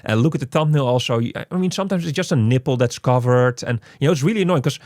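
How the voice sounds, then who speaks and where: A man hosting a casual game review, speaking haltingly and often repeating his words. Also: medium pitch, darkish tone, dry recording, clean studio sound. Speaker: podcast host reviewing a video game.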